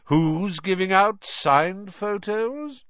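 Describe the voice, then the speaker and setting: A man voicing a jovial, pompous, self-satisfied male teacher in a booming, patronising manner. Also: lively intonation, drawn-out words, fairly bright tone, dry recording, highs cut off, exaggerated voice.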